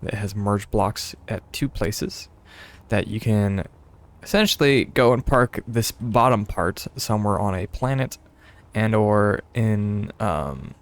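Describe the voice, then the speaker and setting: A man's voice: fairly low pitch, vocal fry. A young man streaming a building game, talking in a casual, rambling way with many fillers and a slightly stuffy voice. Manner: rambling, hesitant, frequent laughs and fillers. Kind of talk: casual gameplay commentary.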